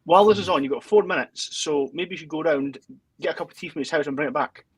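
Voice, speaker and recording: A man's voice: a little high, fast talker, breathy edge, no room sound, rough recording. A Scottish man co-hosting a music show, chatting casually and explaining things in informal, hesitant phrases. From Dundee, Scotland. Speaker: co-host and tune composer. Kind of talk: casual live chat.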